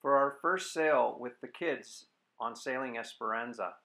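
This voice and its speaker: A man narrating a voice-over for his sailing vlog in a calm, easygoing way. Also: medium pitch, a little slow, creaky.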